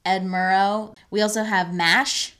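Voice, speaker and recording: A woman's voice: mid-range voice, steady tone, measured pace, a bit bright, clean tone. An American woman co-hosting a podcast in casual, informal conversation, often chiming in with agreement. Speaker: podcast co-host.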